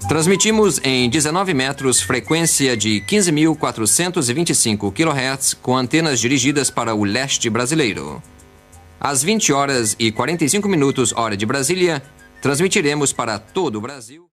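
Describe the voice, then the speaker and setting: A man announcing a radio station identification in a measured, formal manner. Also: mid-range voice, lively intonation, fairly bright tone, soft background music.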